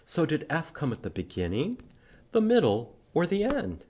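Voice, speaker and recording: A man hosting a children's storytime, reading a picture book and teaching letters in simple, expressive language with questions for young listeners. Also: fairly high pitch, normal speed. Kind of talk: children's storytime read-aloud and letter lesson.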